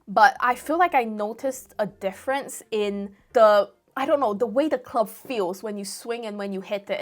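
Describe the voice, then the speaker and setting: A woman in her twenties with a Singaporean accent tinged with British, chatting casually to camera and rambling as she answers viewer questions. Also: medium pitch. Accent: Singaporean with a light British mix. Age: 20s. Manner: chatty, rambling, self-correcting. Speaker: golf YouTuber. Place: room at home with football shirts on the wall.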